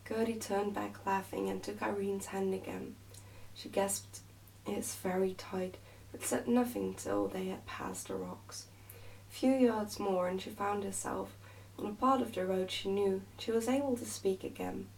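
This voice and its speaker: A woman narrates a children's story in a soft ASMR style. Her voice is mellow and relaxed, with noticeable creak. She is recorded slightly away from the microphone, with faint noise behind. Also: mid-range voice, a little slow, distant mic, poor audio. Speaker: ASMR reader.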